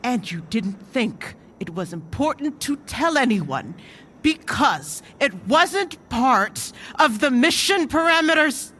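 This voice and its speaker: A woman acting a stern, commanding mentor in an audio drama, speaking in a measured, reproachful way. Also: high-pitched, very animated, fairly bright tone, a little hiss or hum, full character voice. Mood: angry, scolding.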